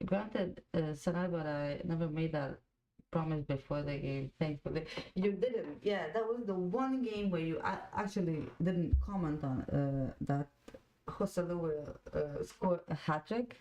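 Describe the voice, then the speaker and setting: A woman in her twenties with a lowish voice, chatting unhurriedly on a live fan stream. She is a Croatian native speaker who laughs easily and answers viewer comments as they come in. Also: a little slow, mellow, a little hiss or hum, low-quality recording. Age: 20s. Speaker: fan panel host. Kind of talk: casual live watch-along chat.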